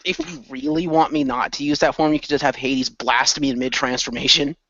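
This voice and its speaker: A man's voice: medium pitch, expressive, a little fast, gravelly, pushed and bright, no echo, muffled recording. A man talking casually as a player in an amateur radio play. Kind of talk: casual chat.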